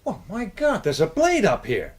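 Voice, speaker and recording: A man's voice: high-pitched, wildly swooping pitch, normal speed, husky, full character voice. An actor playing a wary, wisecracking, sceptical American man in a radio drama. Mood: startled disbelief.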